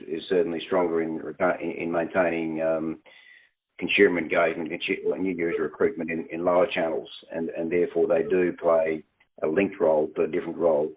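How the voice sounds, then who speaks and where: A man's voice: low voice, poor audio. A man speaking as a company executive on an earnings call, answering in corporate phrasing with frequent halts and repeated words.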